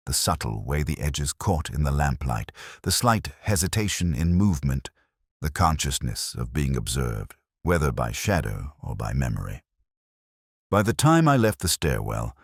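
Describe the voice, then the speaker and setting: Very deep-voiced man narrating a mystery. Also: no room sound, studio quality. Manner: measured, literary, contemplative delivery. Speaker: narrator of a detective mystery story. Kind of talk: scripted first-person audiobook-style mystery narration.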